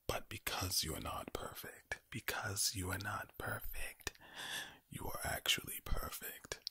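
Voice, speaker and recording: A man speaking in a breathy, low ASMR whisper close to the microphone, deliberately repeating words in a soothing yet sinister-sounding way. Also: pushed and bright, no room sound. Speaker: ASMR performer. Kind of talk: ASMR monologue of whispered and low soft reassurance.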